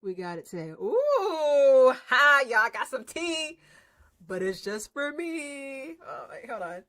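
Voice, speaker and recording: A woman's voice: sits high, sing-song, takes it slowly, a bit bright. A woman streaming a game, chatting and reacting in a casual, slangy, teasing way. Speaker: streamer playing a visual novel.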